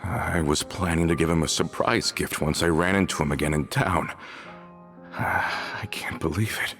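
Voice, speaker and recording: A man's voice: a bass voice, a little slow, a bit bright, exaggerated voice. A man voicing a loyal crew member just back home, earnest and incredulous in how he talks. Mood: shaken. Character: man returning home to his old crew, loyal and shaken. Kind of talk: video game voice acting. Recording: game audio with music.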